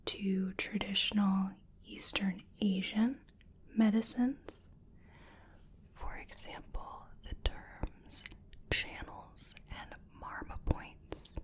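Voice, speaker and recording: An American woman doing a soft-spoken ASMR roleplay as a wellness practitioner, whispering gently and soothingly in a breathy voice. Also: medium pitch. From US Midwest. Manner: gentle, soothing personal attention, unhurried with pauses. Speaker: ASMR creator playing a wellness treatment practitioner.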